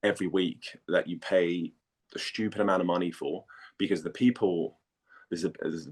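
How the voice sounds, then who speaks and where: A low-pitched male voice from Denmark in relaxed conversation as an interview guest. He talks informally, feeling his way through sentences in a hesitant, self-deprecating way. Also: normal speed. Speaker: interview guest, voice actor and former model.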